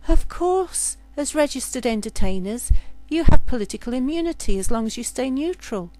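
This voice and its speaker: A woman voicing a pleading, defiant and quick-witted young serving girl in a story. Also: high-pitched, expressive, dark tone, faint music behind, low-quality recording. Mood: polite, awkward.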